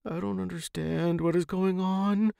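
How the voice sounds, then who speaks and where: Scared young woman for horror fiction, high and hesitant, timid and panicky male performer acting it out. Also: level tone, measured pace, creaky, mellow, smooth, no echo, studio quality, heavily acted. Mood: stammering, anxious. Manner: stammering, hesitant.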